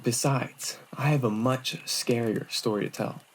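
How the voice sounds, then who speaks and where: A man voicing a smooth-talking, unrepentant flirt with courtly, self-satisfied manners in an anime-style audio drama. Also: medium pitch, a little slow, slight background noise, lightly acted, low-quality recording. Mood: teasing, sly.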